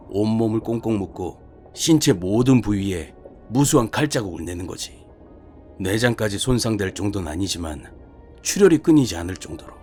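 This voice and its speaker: Guy doing a gruff older-rank detective in a horror story, blunt n chatty, music behind him. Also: lowish voice, darkish tone, natural voice. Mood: grave, emphatic. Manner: colloquial, emphatic, scolding storyteller. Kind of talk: dramatized horror short story reading.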